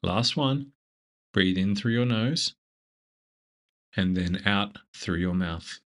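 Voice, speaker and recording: A man leading a scripted guided meditation in a gentle, measured, instructive manner. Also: lowish voice.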